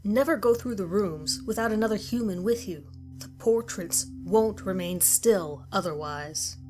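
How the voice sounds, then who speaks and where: A woman's voice: mid-range voice, darkish tone, lightly acted. Woman acting a grim, ominous horror survivor. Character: grim woman survivor narrating deadly rules of a monster house. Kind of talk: scripted horror story narration. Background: music.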